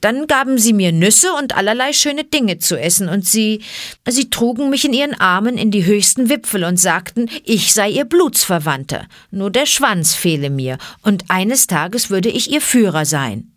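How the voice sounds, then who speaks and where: A woman voicing a young boy raised in the jungle, excited, insistent and pleading. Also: mid-range voice, normal speed, no room sound, clean studio sound, voice pushed up, lightly acted. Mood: unsure.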